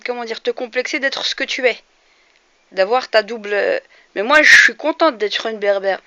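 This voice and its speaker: French woman in her 40s ranting to camera, kinda high bright voice, dull lo-fi sound. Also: no echo. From France. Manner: earnest, grows heated and emphatic, frequent hesitations. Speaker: vlogger. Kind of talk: direct-to-camera opinion monologue. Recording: slightly dull lo-fi recording.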